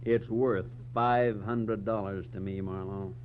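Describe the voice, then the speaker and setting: A man playing a guarded, well-off philanderer in 1940s radio drama, measured and businesslike. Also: fairly low pitch, fairly even intonation, slight background noise. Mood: resigned, businesslike. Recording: muffled, humming 1940s radio recording.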